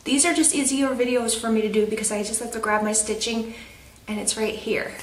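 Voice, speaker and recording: A woman's voice: on the high side, fairly even intonation, fairly quick, far from the mic, slight background noise. A young woman, chatting casually and rambling with lots of fillers in a home update video. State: stuffy nose. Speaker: craft vlogger. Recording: roomy echo, off-mic.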